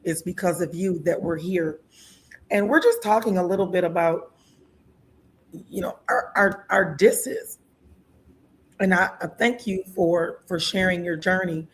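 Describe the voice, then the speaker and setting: A woman's voice: on the low side, a little slow, long pauses. A woman in her sixties hosting an interview show, chatty and laughing often. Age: 60s. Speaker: host. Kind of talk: casual interview talk.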